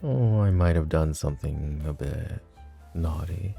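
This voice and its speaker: A man playing a suave, possessive mafia boss in an intimate ASMR roleplay, with a smooth, teasing, mock-polite manner. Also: a bass voice, a little slow, mellow, faint music behind, exaggerated voice.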